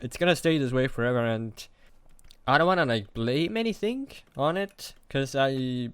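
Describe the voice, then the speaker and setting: Hesitant young man, smooth voice. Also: mid-range voice, lively intonation, fairly bright tone, dry recording. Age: young adult. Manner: hesitant, halting, self-deprecating. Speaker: vlogger. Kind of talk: confessional monologue to camera.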